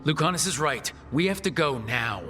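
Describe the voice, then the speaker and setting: An actor playing a determined, reassuring young hero in a video game, speaking in an earnest, measured way. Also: male voice, a little high, animated, pushed and bright, a little hiss or hum. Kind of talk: dramatic video game acting.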